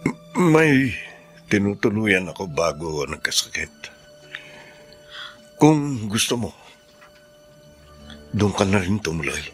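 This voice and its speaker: An actor playing a humble, remorseful man recovering from illness, gentle and halting, in a Filipino radio drama. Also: fairly low pitch, very animated, soft background music. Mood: subdued, hesitant.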